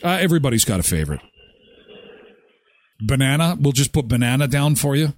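A man's voice: medium pitch, big pitch swings, brisk. A man playing a polite, officious hotel clerk for a prank call, straight-faced, with hesitant phrasing.

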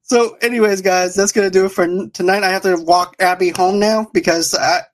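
A man's voice: high-pitched, steady tone, fairly quick. A man hosting an online pop culture show in casual chat, talking in a rambling, repetitive way.

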